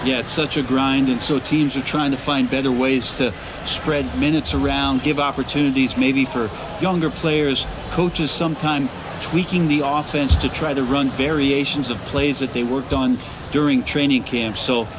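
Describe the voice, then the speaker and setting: A man's voice: mid-range voice, steady tone, moderate pace, noisy background, lightly acted. A man voicing a conversational basketball analyst in a video game, explaining the play. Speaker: color commentator. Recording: loud music under voice, bouncing ball. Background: music and basketball bounces.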